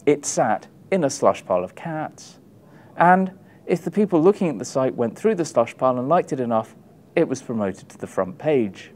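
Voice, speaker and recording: Wry man reading aloud with very lively pitch. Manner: wry, emphatic, dramatic pauses. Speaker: author reading from his book. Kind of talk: expressive book reading, wry comic monologue. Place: small indoor room, publisher's backdrop. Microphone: lapel mic.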